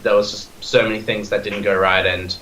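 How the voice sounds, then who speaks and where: A man's voice: fairly low pitch, little pitch movement, brisk, pushed and bright, echoey room, a little hiss or hum, poor audio. A young man in his twenties with an Australian accent, talking as a podcast guest in a rambling, eager way full of fillers and restarts. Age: 20s. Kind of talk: casual chat.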